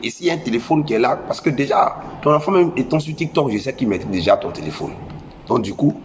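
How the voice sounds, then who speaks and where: A young man speaking French with a West African accent and a head cold delivers a preachy, scolding lecture to parents. He hammers his points by repeating phrases, at an ordinary male pitch. A faint hiss of noise sits under the voice. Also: lively intonation, fast. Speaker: social media commentator. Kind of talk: impassioned moralizing monologue.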